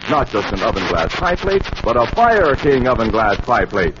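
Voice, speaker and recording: A man's voice: mid-range voice, big pitch swings, normal speed. A man announcing a scripted 1940s radio commercial with emphatic salesmanship. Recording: dull, noisy 1940s radio recording.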